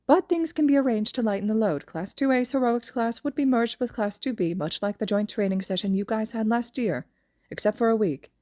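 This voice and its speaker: A woman's voice: on the high side, brisk, warm tone, dry recording, studio quality, voice pushed up, close to an everyday voice. A woman voicing a small male animal principal, a cunning genius who speaks in a formal, wordy, cheerfully smug way in an audio story. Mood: chipper, businesslike. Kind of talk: audio fan fiction character voice.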